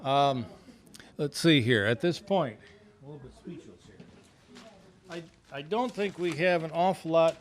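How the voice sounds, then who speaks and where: A man's voice: on the high side, unhurried, long pauses. A man chairing a club meeting with informal, rambling and hesitant remarks and announcements.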